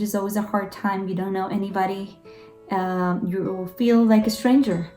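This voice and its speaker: A woman's voice: mid-range voice, warm tone. A woman from Ecuador, a Rotary exchange coordinator recording an earnest tribute message with some hesitations. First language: Spanish. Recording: roomy echo with faint guitar.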